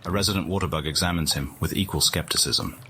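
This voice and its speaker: A man performing a scripted nature-documentary narration in a game, with a solemn, measured, dramatic delivery. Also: low voice, bright, forceful tone, a little hiss or hum. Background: music.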